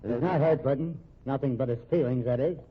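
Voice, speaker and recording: A man's voice: medium pitch, fast talker, breathy edge, lightly acted. An actor playing a cool, sardonic gunman on the run, giving taunting orders in an old radio crime drama. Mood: sardonic, in control. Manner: controlled, taunting, commanding. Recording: muffled old radio recording.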